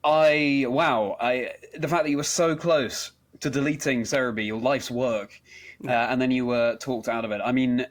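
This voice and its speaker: A man's voice: mid-range voice, steady tone, moderate pace. A man hosting a conversational interview in an earnest, encouraging and effusive manner. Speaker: interview show host.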